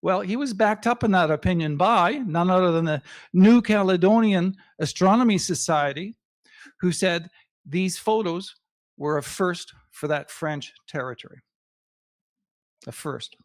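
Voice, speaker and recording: A man with a fairly high voice lectures to an astronomy club audience over his slides. English is his second language, and he speaks in a measured, emphatic way, pausing between phrases. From Canada. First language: not English.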